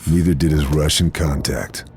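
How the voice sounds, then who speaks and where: Grim American male sniper, extremely low voice, deadpan game narration over loud ambient noise. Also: moderate pace. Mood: cold, terse. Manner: terse, deadpan. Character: grim American OSS sniper narrating his wartime mission. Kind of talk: scripted noir-style voice-over narration.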